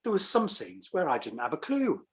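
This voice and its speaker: A man's voice: on the high side, huge pitch swings, brisk, slightly rough. A man speaking as an interview guest, telling anecdotes in casual chat. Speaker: guest, pantomime dame and director.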